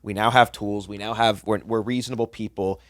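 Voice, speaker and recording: A man in his late thirties talks bluntly and rambles, swearing freely. His voice sits a little low, and the recording is close and dry with no room sound. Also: fairly even intonation, fairly quick, fairly bright tone, no echo. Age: 30s. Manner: blunt, profane, rambling with restarts. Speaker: podcast co-host, chef. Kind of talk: casual podcast chat.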